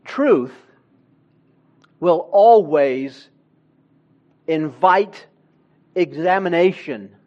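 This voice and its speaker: A man who grew up in Honduras, preaching a sermon in a church with slow, emphatic delivery that swells louder on key words. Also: a little high, lively intonation, muffled recording.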